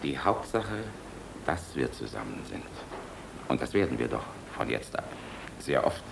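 Gravelly, deep-voiced, world-weary German general. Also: male voice, sing-song, a little slow, background noise, heavily acted. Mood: flirtatious, tender. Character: cynical hard-drinking middle-aged German air force general, charming flirt. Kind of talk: radio play acting.

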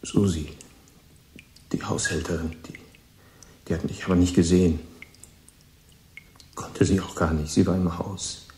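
An actor playing a troubled, gentle sixteen-year-old boy who speaks haltingly and earnestly in a radio drama. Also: deep voice, fairly even intonation, slight background noise. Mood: subdued, halting. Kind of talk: German radio play acting. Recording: echoing, roomy studio scene.

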